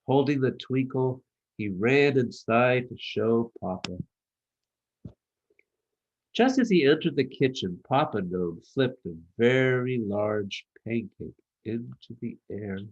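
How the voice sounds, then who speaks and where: A man reading his own children's book as a storyteller, with expressive, measured narration. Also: mid-range voice, muffled recording.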